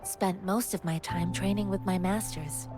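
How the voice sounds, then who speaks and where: A woman's voice: medium pitch, fairly even intonation, moderate pace, music in the background, close to an everyday voice. An actress playing an earnest, kind-hearted young woman martial-arts wanderer in a video game, speaking politely and sincerely. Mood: calm, thoughtful.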